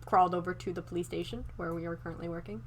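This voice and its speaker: A woman's voice: lowish voice, fairly even intonation, rapid-fire, natural voice. A woman playing a wary medical assistant in an improvised zombie roleplay game, casual, hesitant and a little sardonic.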